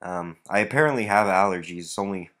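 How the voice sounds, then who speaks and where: A man with a somewhat low voice talks through a stuffy nose. He rambles casually as he walks through his breeding rack, filling pauses with lots of "uh" and "um.". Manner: relaxed, rambling, many fillers. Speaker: reptile breeder. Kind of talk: casual walkthrough vlog.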